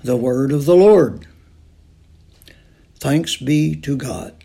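A man reading scripture aloud in a solemn, measured and deliberate way. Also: mid-range voice, vocal fry, mellow. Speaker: scripture reader.

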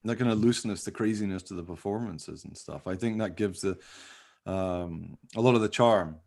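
A man's voice: low voice, moderate pace, gravelly, darkish tone. A man chatting casually as a co-host, rambling along in a jokey, self-deprecating way. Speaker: podcast co-host, radio play writer. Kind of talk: casual behind-the-scenes chat.

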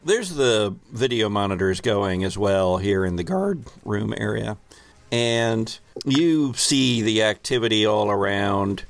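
Guy game master, unhurried and gravelly, dry close sound, chatting through a tabletop rpg. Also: lowish voice, animated, no room sound, soft background music. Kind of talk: casual tabletop game narration and banter. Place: remote online call.

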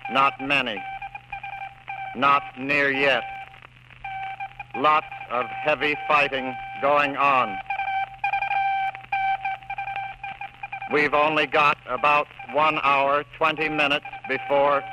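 Noisy radio, man acting halting, monotone besieged army operator. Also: on the high side. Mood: grim, waiting. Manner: halting, clipped, monotone phrases. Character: exhausted, despairing young army radio operator under siege. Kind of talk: slow dramatic reading of coded radio messages. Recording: noisy old radio with code beeps.

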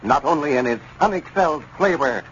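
A man's voice: fairly high pitch, lively intonation, fairly quick, fairly bright tone. A man with an American accent announcing and reading scripted advertising in 1930s radio style. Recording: muffled, hissy 1930s radio recording.

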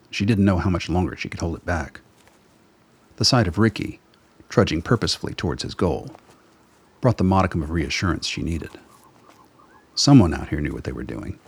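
A man narrating a scripted radio drama as its storyteller, with a husky voice. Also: sits low.

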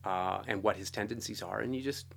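A man with a fairly low voice sits as an interview guest, telling stories from working life. His delivery is informal and animated, with thoughts looping through casual phrases like "you know.". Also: brisk, vocal fry, breathy edge. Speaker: guest, composer and orchestrator. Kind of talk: conversational interview storytelling.

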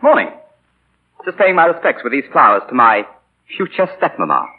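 A man's voice: mid-range voice, wildly swooping pitch, normal speed. An actor playing a smug, insinuating young man with mocking, falsely courteous manners in 1940s radio drama. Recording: muffled, scratchy 1940 radio broadcast.

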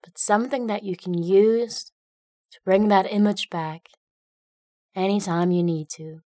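A woman narrating a guided meditation in a gentle, unhurried way, leaving long pauses between phrases. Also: mid-range voice, steady tone, dry recording, studio quality.

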